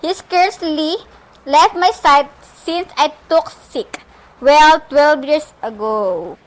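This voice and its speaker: A woman's voice: sits high, slow pace, rough recording. A woman playing an anxious, demanding bedridden wife in an amateur radio play, speaking insistently with nervous hesitations. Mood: shaken, protesting. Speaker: student actor in a group project.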